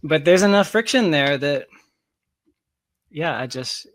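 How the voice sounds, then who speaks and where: A man's voice: fairly high pitch, lively intonation, measured pace, fairly bright tone, no room sound. A man talking casually as an interview guest, explaining his ideas emphatically and repeating phrases for stress. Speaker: interview guest, tech company founder.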